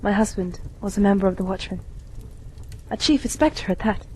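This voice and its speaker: A woman playing a kindly, weary widowed lady in an amateur radio play, with a measured, genteel delivery. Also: medium pitch, expressive, dark tone, a little hiss or hum, lightly acted, rough recording. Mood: sorrowful.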